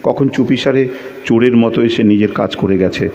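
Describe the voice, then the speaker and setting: A man acting a lovesick, quick-tempered man who tells his own story in a theatrical, emotional radio-play style. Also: medium pitch, mellow, lo-fi sound, natural voice. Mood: brooding. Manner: theatrical, emotional, with repeated pleading phrases. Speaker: audio drama actor and narrator. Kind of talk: dramatic first-person narration of a Bengali audio play.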